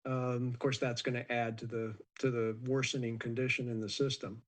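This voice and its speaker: Measured American man, explaining matter-of-factly. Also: mid-range voice, flat delivery. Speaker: aviation expert interviewee. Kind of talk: unscripted interview commentary.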